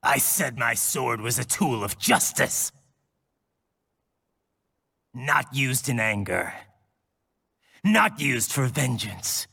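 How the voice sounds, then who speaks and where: An actor playing a grim, brooding cyborg warrior in a video game, terse and menacing with a raspy, gravelly voice. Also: male voice, medium pitch, expressive, slow, long pauses, sharp tone, heavily acted. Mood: grim resolve. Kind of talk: video game cutscene acting.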